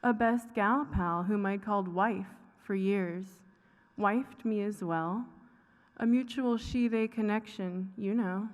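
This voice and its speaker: A woman giving a dramatic reading of a short story, with deliberate, pausing phrasing. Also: medium pitch. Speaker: fiction writer reading own work. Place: reverberant hall at a live public reading. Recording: echoey hall with long reverb.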